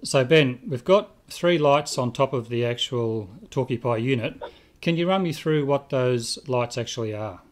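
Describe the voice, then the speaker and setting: A man's voice: medium pitch, moderate pace. A man, presenting scripted narration as the host of a video. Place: indoor room with bookshelves.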